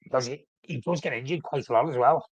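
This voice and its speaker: A man's voice: medium pitch, quick pace, breathy edge, no room sound. A man with a flu, sounding snuffly, hosting a casual live-stream chat about his football club and rambling with frequent self-corrections. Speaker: fan channel host.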